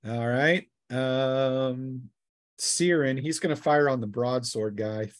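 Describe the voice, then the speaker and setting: Guy game mastering a tabletop rpg, unhurried plain play-by-play, mid pitch. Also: no echo.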